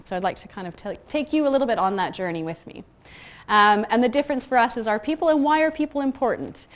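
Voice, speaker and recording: A middle-aged woman with a soft, quiet voice giving a chatty conference talk about customer service, full of ums and light asides. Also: a little high, expressive, fast. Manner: chatty, frequent ums and uhs, self-deprecating asides. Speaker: conference speaker. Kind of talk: conference talk to a live audience. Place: conference room with audience.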